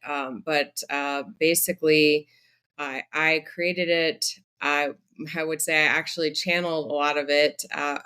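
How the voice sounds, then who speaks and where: A woman guest on a podcast, speaking in a measured, reflective way about her work with grief, with frequent pauses and ums. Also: sits low, a bit bright, smooth. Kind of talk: conversational interview answers.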